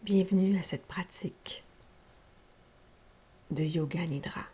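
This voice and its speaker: Very slow, soft woman guiding meditation. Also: lowish voice. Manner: soft, breathy, unhurried, gentle. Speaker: yoga nidra teacher. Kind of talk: guided meditation, slow soothing instruction. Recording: faint steady background hiss.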